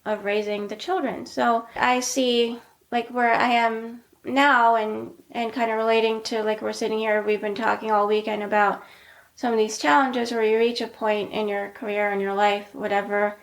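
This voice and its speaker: A middle-aged woman speaking softly and casually, her pitch staying in a narrow, level band. She leans on filler words as she talks things through with a friend. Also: normal speed. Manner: soft-spoken, many "like" fillers, steady even delivery. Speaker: podcast co-host, pediatrician. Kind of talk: casual conversational chat.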